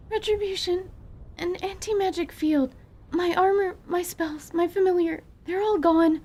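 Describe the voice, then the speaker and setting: A woman's voice: extremely high pitch, slow, a little mellow, voice pushed up. A woman voicing an earnest, proper female spellcaster who teaches children, in a fantasy audio drama. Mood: panicked.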